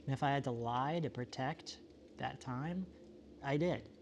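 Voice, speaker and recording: Halting, world-weary man acting as a solitary male survivor. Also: medium pitch, slight background noise, close to an everyday voice. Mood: weary, reflective. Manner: halting, reflective, rambling, self-questioning. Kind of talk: found-footage horror monologue, confessional and conversational.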